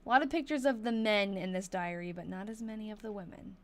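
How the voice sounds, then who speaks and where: A woman from Massachusetts hosting a live stream, reading an old diary aloud in a casual way, thinking out loud and slowing down over hard words. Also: medium pitch.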